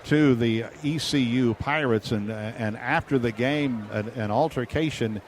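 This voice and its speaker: A man working as a sports radio announcer, hosting a postgame interview in measured, halting phrases. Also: medium pitch, a little hiss or hum.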